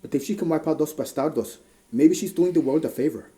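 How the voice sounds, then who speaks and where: Man playing a very dark-voiced western deputy. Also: on the high side, fairly quick, lightly acted. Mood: respectful dissent. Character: respectful but dissenting western deputy. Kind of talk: western audio drama acting.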